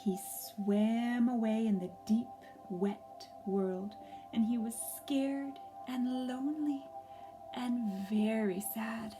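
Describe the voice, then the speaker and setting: A woman reading a story aloud for young children, gently and expressively, pausing to ask them questions. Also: a little high, steady tone, over music.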